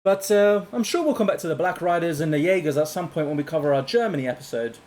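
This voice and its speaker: A man's voice: a little high, fast talker. A man co-hosting a history podcast, chatting casually and laughing easily. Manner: laughs easily, animated asides. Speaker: podcast co-host.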